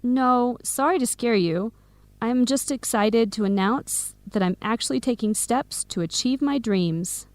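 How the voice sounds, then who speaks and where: Woman acting enthusiastic best friend, high-pitched. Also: a little slow, darkish tone, no echo, natural voice. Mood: eager, chatty. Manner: clearly phrased scripted explanations. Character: Samira, Helen's enthusiastic American best friend, aspiring business owner. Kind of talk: English-learning radio drama acting.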